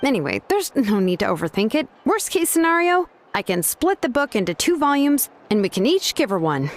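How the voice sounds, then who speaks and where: An actress voicing an easygoing, wry young craftswoman in a game dub, talking in a relaxed, dryly teasing way over soft game music. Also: a little high, a little fast, slight background noise. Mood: calm. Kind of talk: dubbed game dialogue.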